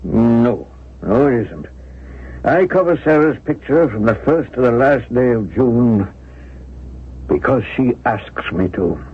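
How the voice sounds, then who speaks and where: A man's voice: medium pitch, expressive, takes it slowly, slight background noise. An actor playing a wistful, secretive old uncle in a 1970s radio drama, hesitant as he reminisces. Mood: confessing, mysterious. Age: older. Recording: tinny old radio with music.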